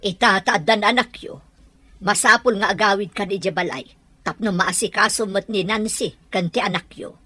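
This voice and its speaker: A woman with an Ilocano accent playing a bossy, nosy middle-aged mother in a radio drama, with a husky voice. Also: medium pitch, normal speed, sharp tone. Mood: firm, advising.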